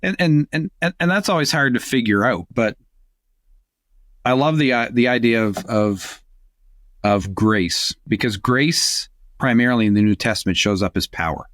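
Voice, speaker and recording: A man's voice: mid-range voice, normal speed, fairly bright tone, no echo, clean studio sound. A man giving reflective, conversational advice on a podcast, often repeating words as he builds a point. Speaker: podcast panelist, church leadership coach.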